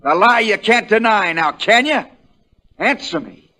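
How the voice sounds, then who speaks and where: Male: shrewd American country defense attorney for vintage radio play; fairly high voice, very animated, homespun and relentless. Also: unhurried, full character voice. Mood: fierce, accusing. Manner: drawling folksy understatement that builds into hammering accusation. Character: shrewd, folksy country defense lawyer, relentless in cross-examination. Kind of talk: 1940s radio drama acting.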